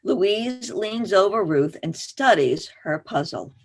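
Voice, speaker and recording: A woman narrates a play, reading its stage directions in a scripted style. Her voice is mid-pitched and moves with very animated intonation. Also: slow, slight background noise.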